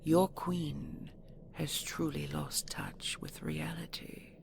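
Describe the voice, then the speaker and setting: A woman playing a proud, possessive female dragon in an audio roleplay, haughty and theatrical, with a gravelly, menacing delivery. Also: sits low, fairly even intonation, whispered, full character voice. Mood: scornful. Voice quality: gravelly, breathy growls. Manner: haughty, theatrical, slow deliberate threats.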